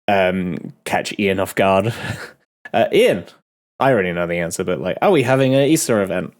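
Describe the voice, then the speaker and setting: A man hosting a casual game developer Q&A, a bit ill and nasal, rambling with many pauses and restarts. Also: low voice, lively intonation. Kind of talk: casual dev talk Q&A.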